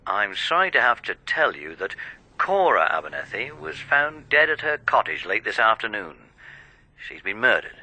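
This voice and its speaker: An actor playing a businesslike, polite police inspector in a radio drama, brisk, official and courteous. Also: male voice, on the low side, big pitch swings, sharp tone, full character voice. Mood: grave.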